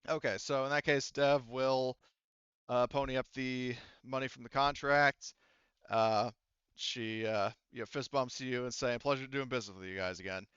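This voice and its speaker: Male game master, casual tabletop session. Wry, explanatory delivery, smooth voice, dry and slightly muffled channel. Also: medium pitch, steady tone, moderate pace, a bit bright, no echo.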